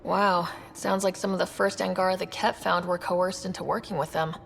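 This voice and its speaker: A woman's voice: on the low side, steady tone, fairly quick, creaky, slight background noise. A woman playing a plucky, sardonic young human explorer in a video game, casual, dry and confident in delivery. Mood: earnest. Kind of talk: naturalistic video game acting.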